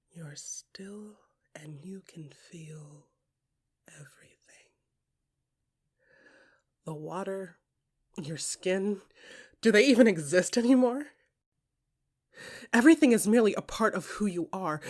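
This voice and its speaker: Husky, hushed woman storyteller. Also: medium pitch. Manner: hushed, often dropping to a whisper, with deliberate pauses; expressive, swinging from soft musing to emphatic bursts. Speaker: narrator and performer of an audio fiction podcast. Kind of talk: intimate second-person dramatic storytelling.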